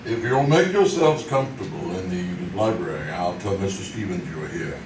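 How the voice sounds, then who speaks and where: Male butler for vintage radio play actor: deep, stately, deferential, echoing stage recording. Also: expressive, moderate pace. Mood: stately, polite. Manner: stiffly formal. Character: formal, deferential male butler of a wealthy house. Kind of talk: old-time radio drama acting, performed live on stage. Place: theater stage, live performance. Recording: roomy, distant stage recording with hiss.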